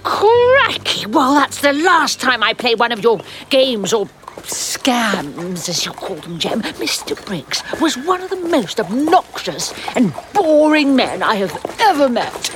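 A woman's voice: a little high, very animated, takes it slowly, music in the background. A woman playing a haughty, exasperated young lady in a period audio drama, prim and old-fashioned in her phrasing.

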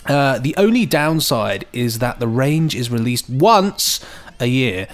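A man's voice: medium pitch, very animated, slow pace. A man co-hosting a podcast, chatting casually and jokily and reading out listener emails. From England. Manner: chatty, jokey. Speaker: podcast co-host.

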